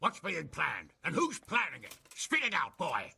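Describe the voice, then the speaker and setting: An actor playing a hot-tempered, foul-mouthed lord in a video game, gravelly and raspy. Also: male voice, fairly high pitch, wildly swooping pitch, a little slow, pushed and bright, full character voice. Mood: demanding, incredulous. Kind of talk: video game cutscene acting.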